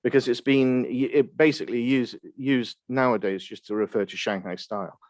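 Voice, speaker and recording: A man speaking as an expert podcast guest, explaining things in a halting, scholarly way. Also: mid-range voice, steady tone, a little mellow, no echo. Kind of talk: explanatory interview talk.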